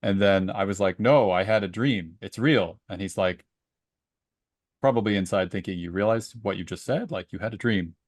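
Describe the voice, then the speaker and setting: Guy on a podcast chatting and retelling stuff, kinda low voice, dry recording, does the dialogue. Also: moderate pace, dry recording. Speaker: podcast co-host and story reader. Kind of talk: casual book discussion with personal anecdotes.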